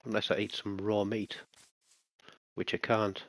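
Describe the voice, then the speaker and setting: Deep-voiced man narrating gameplay. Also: little pitch movement, measured pace, fairly bright tone, no room sound, rough recording. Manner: chatty, muttering to himself, bursts of alarm at mobs. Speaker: gaming channel host. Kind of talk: casual let's-play commentary.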